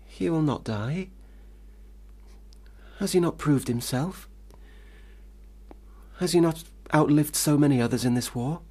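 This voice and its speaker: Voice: mid-range voice, a little slow, pauses often, mellow, natural voice. An actor in a radio play playing a bossy, imaginative young boy who speaks in a pompous, pedantic, declaiming way. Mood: grave. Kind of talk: radio drama acting.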